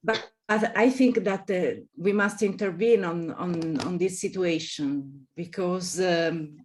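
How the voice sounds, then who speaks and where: A woman with an Italian accent, a native Italian speaker, answering as an academic guest and haltingly searching for her English words. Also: lowish voice. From Italy. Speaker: architecture professor, guest lecturer. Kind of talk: academic discussion at a webinar.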